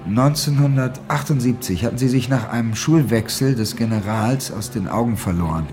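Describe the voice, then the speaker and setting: An actor playing a domineering narrator of a seedy city in a literary radio drama, with a commanding, declamatory delivery. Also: male voice, mid-range voice, normal speed, a little mellow, natural voice. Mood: storytelling. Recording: music behind the voice. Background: music.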